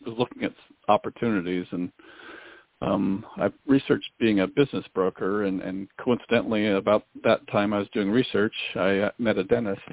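A man from the US Pacific Northwest, a guest in a radio interview, talking in a relaxed, casual way with many fillers. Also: fairly low pitch, creaky, dry recording. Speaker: guest, business broker. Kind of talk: interview answers. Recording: dry phone-line audio.

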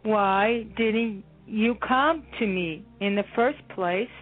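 An actress voicing a calm adult school counselor who speaks in a measured, gently firm way, in a radio play. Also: female voice, mid-range voice, faint music behind. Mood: concerned. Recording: tinny phone-line sound.

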